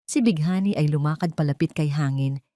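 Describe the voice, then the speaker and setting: A woman's voice: low voice, fairly quick, mellow, no room sound, clean studio sound. A woman with a Filipino accent narrating a scripted story in an emotive, theatrical way. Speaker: storyteller narrating an audio drama.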